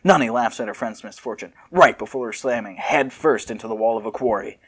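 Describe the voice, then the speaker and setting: A man's voice: mid-range voice, a little fast, breathy edge. A man narrating a story in an amateur read-aloud style, with casual joking asides.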